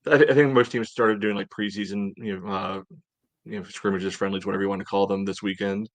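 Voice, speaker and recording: A man's voice: on the low side, steady tone, fast, no echo. A man co-hosting a casual sports talk show, hesitant and thinking aloud as he goes. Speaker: sports podcast co-host.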